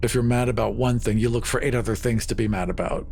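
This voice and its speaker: A man's voice: mid-range voice, fairly even intonation, quick pace, vocal fry, a little mellow, slight background noise. A man talking casually as a player at a tabletop game, explaining his ideas.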